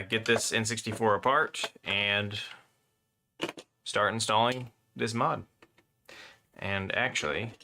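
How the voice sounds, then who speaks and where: A man's voice: fairly low pitch, sharp tone, a little hiss or hum. A man streaming a hands-on console mod at his workbench, chatting casually and rambling with lots of fillers while he gets over a slight cough from a cold. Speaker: electronics modder streaming a console mod install. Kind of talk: casual live-stream talk while working.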